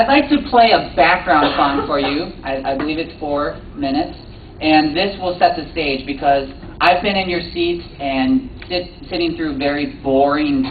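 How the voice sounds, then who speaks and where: Young male lecturer, halting and chatty with the crowd, miked from far off in a big reverberant hall. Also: on the high side, slight background noise. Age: 20s. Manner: hesitant, many ums and restarts, quizzes the audience. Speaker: guest speaker, professor. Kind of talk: interactive lecture to students. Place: large auditorium. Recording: echoey hall, distant mic, dull sound.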